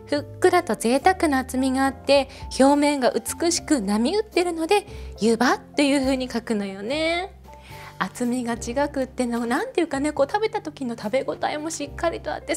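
A gushing bar hostess chats with her customer in a high, sing-song voice, played by a woman. She talks in a soft, feminine way that rises and falls a great deal. Faint music plays behind her. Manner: chatty, gushing, feminine sentence endings. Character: chatty middle-aged woman running a snack bar. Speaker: bar hostess in a role-play. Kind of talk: one-sided role-play with an unseen customer.

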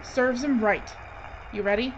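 Radio drama: actress as resigned, defiant woman filmmaker, high voice, earnest, slightly noisy muffled track. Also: fairly even intonation, a little slow, close to an everyday voice. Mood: grim resignation. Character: Lorelei, resigned but defiant woman filmmaker facing death. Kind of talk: radio horror drama acting.

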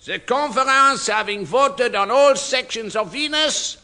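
A pompous chairman in a radio comedy. The voice is high and very bright, brisk and officious. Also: full character voice. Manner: formal, pompous. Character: brisk, officious male French conference chairman. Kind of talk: radio sitcom acting.

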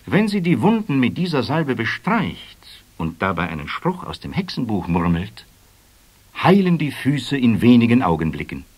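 A man's voice: medium pitch, wildly swooping pitch, normal speed, slightly rough. A man narrating a scripted children's story as the storyteller of an audio play.

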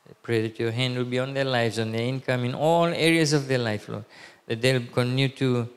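A man's voice: medium pitch, fairly even intonation, brisk, smooth. A man in his thirties leading a church service, making announcements and praying aloud at a pulpit, with many fillers and restarts. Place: church hall, speaking at a pulpit. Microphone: handheld microphone. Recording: long hall reverb.

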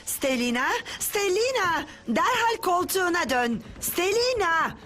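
A woman's voice: big pitch swings, unhurried, fairly bright tone, slight background noise, full character voice. A woman voicing a strict, easily panicked female escort in a dubbed cartoon, fussy and scolding. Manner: fussy, scolding, shrill exclamations.

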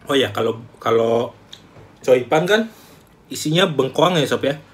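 A young man, chatting casually to camera in a small room as a food vlogger, animated and talkative. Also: medium pitch, unhurried, a little hiss or hum. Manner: chatty, animated, calls himself by name. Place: small room indoors, plain wall.

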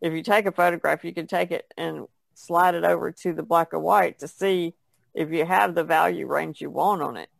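A woman speaks casually on a podcast panel. Her voice is heavily creaky and gravelly, and it is recorded dry and close. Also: on the low side, fairly even intonation, moderate pace, no echo.

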